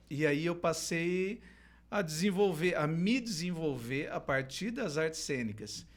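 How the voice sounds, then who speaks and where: A man's voice: a little high, lively intonation, slow. A middle-aged man from Londrina in Brazil, a clown and theater teacher, telling his life story as a podcast guest with frequent hesitations and restarts. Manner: hesitant, many restarts and fillers, laughs easily. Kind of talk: casual storytelling interview.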